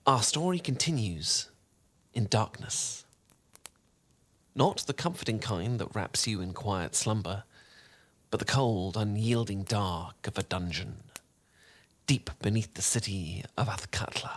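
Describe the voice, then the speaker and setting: A man playing a charming, learned wizard who tells a bedtime story in a soft, velvety, theatrical and slightly pompous voice, in a soothing fan roleplay. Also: on the low side, full character voice. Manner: theatrical, eloquent, unhurried, gently pompous.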